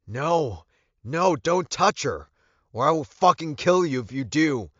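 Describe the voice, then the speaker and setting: A man voicing a commanding, protective werewolf alpha in an audiobook, with a forceful delivery. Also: fairly low pitch, animated, measured pace, dry recording, dull audio, pitched above the performer's own voice. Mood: desperate, enraged. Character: commanding, protective male werewolf alpha, fierce about his mate.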